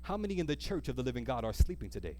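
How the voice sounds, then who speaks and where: A man preaching a sermon in an emphatic, exhorting manner, reading scripture and pausing to put questions to his listeners. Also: mid-range voice, sing-song, warm tone, a little hiss or hum. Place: church service, live.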